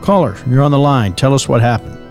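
Casting: man playing folksy night-time radio host, creaky mid-pitched voice, practised patter, light music bed, audio drama. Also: animated, brisk, close to an everyday voice. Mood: inviting. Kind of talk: late-night radio show acting.

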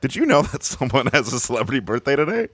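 A man co-hosting a comedy podcast, with a stuffed-up nose and a deadpan, mock-apologetic way of talking. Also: medium pitch, quick pace, slightly rough. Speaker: podcast co-host.

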